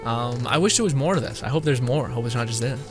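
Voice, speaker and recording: Very low-voiced woman streaming a game. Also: lively intonation, fast talker, loud music behind the voice. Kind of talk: casual live gameplay commentary.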